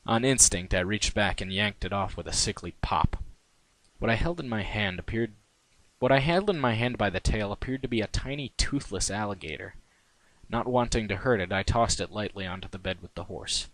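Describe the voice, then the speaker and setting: A man's voice: on the low side, moderate pace, fairly bright tone, no room sound, low-quality recording. A man reading his own first-person fan fiction in a wry, conversational way. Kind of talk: first-person story narration.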